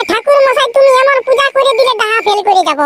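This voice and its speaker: A man voicing a whiny, excitable village schoolboy in a comic cartoon, with a Purulia Bengali accent and a pitched-up voice. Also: fast. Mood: whining. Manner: complaining, rustic. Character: whiny, excitable village boy, lazy exam student. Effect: pitch-shifted up. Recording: very noisy track with ambient sound.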